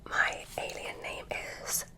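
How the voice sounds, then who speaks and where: A woman's voice: slow pace, pushed and bright. A woman voicing a curious, polite female alien examiner, whispering through an ASMR roleplay.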